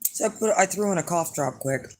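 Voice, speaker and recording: A hoarse middle-aged woman chatting casually to her viewers as an astrology reader, rambling and blunt with lots of fillers. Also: on the low side, animated, brisk, darkish tone. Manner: rambling and blunt, with frequent fillers and some swearing. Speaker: astrology reader and channel host.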